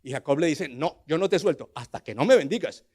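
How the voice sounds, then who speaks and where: A man in his sixties with a Colombian accent preaching a sermon, telling scripture as a dramatic story and moving between hushed asides and loud, emphatic declarations. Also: fairly high pitch, lively intonation, fairly quick. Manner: rhetorical questions to the congregation.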